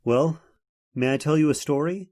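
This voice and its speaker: A man's voice: fairly high pitch, moderate pace, darkish tone, natural voice. An actor playing an earnest, worried man seeking help, formal and polite in old-time radio horror style. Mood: earnest, concerned.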